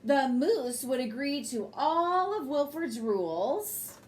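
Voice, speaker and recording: Woman, storyteller, higher-pitched voice, very animated intonation, expressive children's reading. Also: slow, slight background noise. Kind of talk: bedtime story read aloud.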